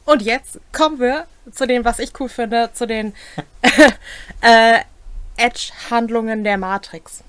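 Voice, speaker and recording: A woman's voice: on the high side, steady tone, slow, fairly bright tone, smooth, no room sound. A young woman co-hosting a casual chat, reading out and commenting on game rules. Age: young adult. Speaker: co-host presenting game rules. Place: small cluttered home room.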